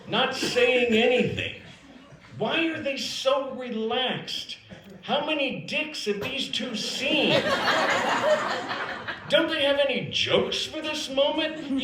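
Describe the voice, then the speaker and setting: An American man performing a scripted comedic story on stage with theatrical, deliberate pauses and emphatic delivery. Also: extremely high pitch, background noise. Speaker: storyteller performing own written piece. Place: theater stage before an audience. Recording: roomy live stage recording. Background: audience laughter.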